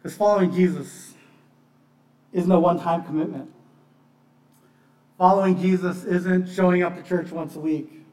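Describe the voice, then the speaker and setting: Higher-voiced male preacher in an echoey room. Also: steady tone, normal speed, pauses often, a little mellow, recorded from a distance. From born in Bangladesh, childhood partly in Pakistan in a missionary family. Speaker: pastor giving a sermon. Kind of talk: sermon with personal testimony.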